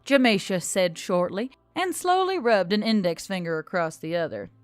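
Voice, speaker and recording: A woman reads scripted fiction as narrator. Her intonation is animated and moves widely in pitch. The recording is dry, with faint music behind it. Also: moderate pace, clear, resonant tone, no room sound. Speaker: narrator and channel host.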